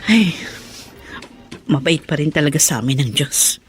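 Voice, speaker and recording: A woman's voice: deep voice, animated, a little slow, faint music behind. A woman playing a poor, devout and worried washerwoman mother in a radio drama, speaking plaintively. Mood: grateful. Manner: plaintive, prayerful soliloquy. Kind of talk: Filipino radio drama acting.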